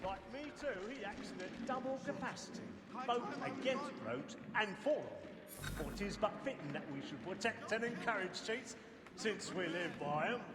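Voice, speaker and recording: An actor playing a sly, self-satisfied crime boss in a period stage opera, declaiming pompously in an echoey theatre. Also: male voice, high-pitched, lively intonation, normal speed, very noisy. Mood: smug. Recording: echoey theatre stage under loud music.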